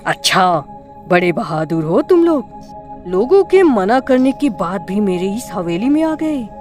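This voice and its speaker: A woman's voice: mid-range voice, big pitch swings, measured pace, darkish tone, faint music behind. A woman voicing a menacing man-eating witch who taunts and threatens her prey in a cartoon horror story. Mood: taunting, menacing. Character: menacing man-eating female witch haunting an old mansion.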